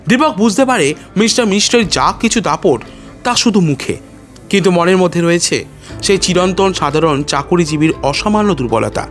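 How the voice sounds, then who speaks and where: A man's voice: high voice, lively intonation, normal speed. A man narrating a dramatic audio story in a literary, suspenseful manner. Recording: music behind the voice.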